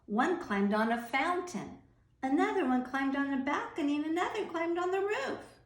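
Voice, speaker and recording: Lady reading a bedtime story to kids, really expressive, roomy echo on it. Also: high-pitched, moderate pace. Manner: animated, dramatic, dropping into hushed breathy phrases. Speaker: storyteller reading a children's book.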